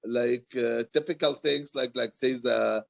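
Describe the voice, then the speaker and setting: A man from Egypt, a poet and author, talking informally and haltingly on a video call, often repeating his words. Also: mid-range voice, steady tone, creaky. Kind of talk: informal question-and-answer talk after a reading. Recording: roomy, dull video-call sound.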